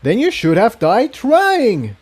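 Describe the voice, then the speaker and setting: A man's voice: extremely high pitch, wildly swooping pitch, slow, husky, pitched above the performer's own voice, exaggerated voice. A man voicing a bitter, sneering purple pony who snaps accusations with open contempt in a story reading. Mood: bitter, accusing. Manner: snapping, spitting contempt.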